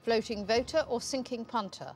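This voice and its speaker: A woman runs a studio quiz with clipped, mocking delivery. Her voice sits a little high, and soft music plays faintly underneath. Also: moderate pace. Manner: curt, cutting, mocking. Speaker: quiz show host. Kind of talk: scripted quiz hosting. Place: television studio.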